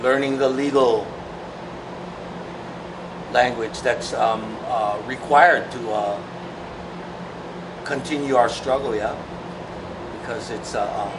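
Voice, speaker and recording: A middle-aged man from Hawaii, a songwriter talking thoughtfully in an interview, pausing often with fillers. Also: mid-range voice, steady tone. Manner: thoughtful, halting, many fillers. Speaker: songwriter and musician. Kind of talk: reflective interview talk. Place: large open-sided shed outdoors. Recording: distant mic, clear background noise.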